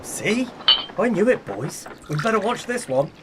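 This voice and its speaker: An actor playing a hearty, proud fishing-boat skipper with a bluff Cornish accent in a radio play. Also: male voice, sits high, very animated, measured pace. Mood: jovial. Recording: noisy boat ambience behind voice.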